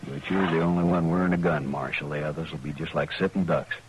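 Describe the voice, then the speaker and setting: Jealous rancher villain, low pitch, rustic, gruff, defiant, old western radio recording. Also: male voice, steady tone, fast talker, dull audio, noisy background. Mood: menacing. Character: surly, jealous male rancher, a wife killer. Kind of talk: 1950s western radio drama acting.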